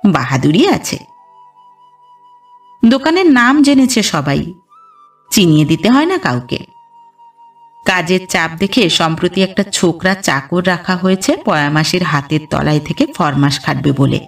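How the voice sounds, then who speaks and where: A woman reads a literary story as a scripted audiobook narration, in a measured storytelling delivery. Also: on the low side, big pitch swings, distant mic. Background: background music.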